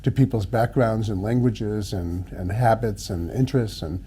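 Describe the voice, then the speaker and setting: Middle-aged guy hosting an interview, mellow voice, friendly, trips on words a bit. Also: on the low side, a little hiss or hum. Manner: friendly, halting, repeats words. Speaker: interview host, home buyer class teacher. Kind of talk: interview conversation.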